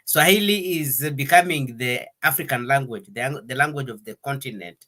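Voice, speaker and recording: Bright-voiced hesitant male interviewer. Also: medium pitch, normal speed. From Congo. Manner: hesitant, many fillers and restarts, switches between English and French. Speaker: interview host. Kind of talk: conversational interview. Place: Denmark.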